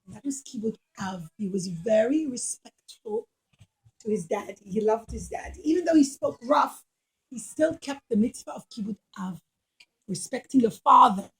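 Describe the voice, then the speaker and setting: A woman's voice: fairly high pitch, lively intonation, moderate pace, poor audio. A woman storytelling for children at a puppet show, speaking in simple, emphatic phrases and addressing the young listeners directly. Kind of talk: animated storytelling for children, asking questions.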